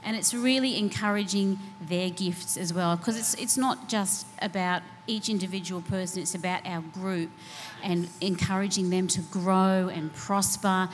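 A woman who leads a women's church group talks about it earnestly and encouragingly. Her voice carries a long hall reverb over a faint steady hum. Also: lowish voice, a little slow. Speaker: women's small group leader. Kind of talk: casual church panel chat.

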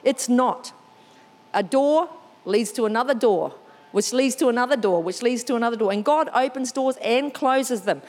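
Kiwi lady preaching, lively and chatty, kinda high voice, big hall echo. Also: moderate pace. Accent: New Zealand. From New Zealand. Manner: lively, warm storytelling with self-deprecating asides. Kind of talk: sermon.

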